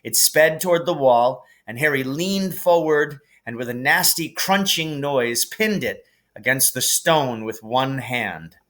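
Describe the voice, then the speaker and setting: A man reading a storybook aloud as an expressive narrator, in a measured, deliberate storytelling manner. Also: medium pitch. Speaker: teacher reading a novel aloud.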